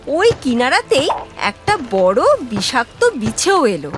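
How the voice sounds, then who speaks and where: High, animated woman telling children's stories. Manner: expressive, addressing children. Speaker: storyteller for children. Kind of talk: scripted children's story narration.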